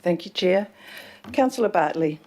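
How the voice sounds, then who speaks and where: A woman working as the meeting clerk, reading out the roll call in a brisk, businesslike way. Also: on the low side, animated, dark tone.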